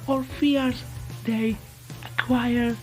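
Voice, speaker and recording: An eerie radio presenter played by a woman speaks in a lifted pitch, slow and formal. The horror-story figure is composed, knowing and menacing, over faint music. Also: flat delivery. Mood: calmly ominous. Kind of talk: late-night radio programme presenting.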